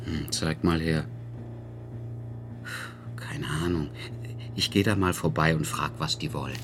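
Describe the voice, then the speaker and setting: A man's voice: very deep voice, fairly even intonation, unhurried, over music. An actor playing a brooding, weary husband and father in a radio drama, speaking in a subdued, introspective way. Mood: guarded, casual. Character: Tolja, brooding weary husband and father, low-voiced narrator. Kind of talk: radio drama inner monologue and dialogue.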